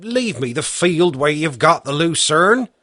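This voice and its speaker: A man's voice: fairly high pitch, very animated, measured pace, sharp tone, no room sound, studio quality, voice pushed up, heavily acted. A man voicing a worn-out peasant farmer who stammers and mumbles in plain rustic speech. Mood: bewildered.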